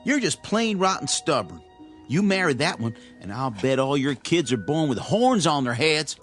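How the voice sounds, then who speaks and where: A man playing a gruff, suspicious older brother with a rural American accent in a radio drama. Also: on the high side, sing-song, normal speed, fairly bright tone, faint music behind. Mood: surly, accusing.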